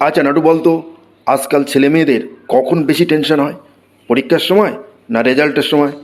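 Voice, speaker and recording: A man voicing an exasperated, excitable Bengali schoolteacher in a comedy cartoon, theatrical and given to exclaiming. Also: fairly high pitch, brisk, close to an everyday voice. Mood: questioning. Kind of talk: comic cartoon voice acting.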